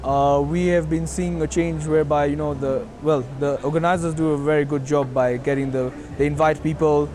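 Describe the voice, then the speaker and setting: A man's voice: on the high side, flat delivery, moderate pace, few pauses, crowd noise. A man giving an interview, rambling and restarting his sentences as he goes.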